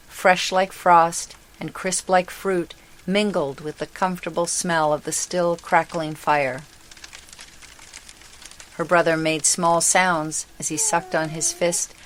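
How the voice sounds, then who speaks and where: Female storybook narrator, low register, slow and deliberate delivery, scripted read. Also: a little hiss or hum.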